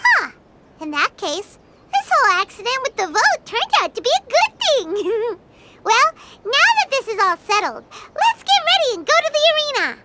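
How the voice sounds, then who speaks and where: A woman voicing a small, excitable, chatty childlike fairy companion in a video game, squeaky and exclamatory. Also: normal speed, very noisy, heavily acted. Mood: cheerful. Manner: refers to self in third person, exclamatory. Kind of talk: video game cutscene dialogue.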